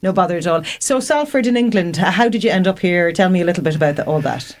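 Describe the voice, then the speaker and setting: A woman's voice: lowish voice, lively intonation, fast. A woman hosting a radio interview in a studio, chatty and encouraging. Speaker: radio show host.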